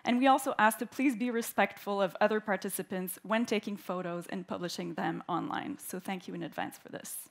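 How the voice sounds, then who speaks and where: A woman from Canada giving the formal welcome speech at a conference, clear and orderly as she reads prepared remarks into a stage microphone. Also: mid-range voice, a little fast, few pauses. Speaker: event host, opening speaker. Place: conference hall.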